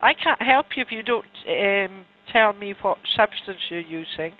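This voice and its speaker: A woman's voice: mid-range voice, expressive. Tinny telephone audio, woman, helpline operator, businesslike and direct. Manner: brisk, blunt, businesslike. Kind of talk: phone intake questioning. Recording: tinny, narrow telephone line.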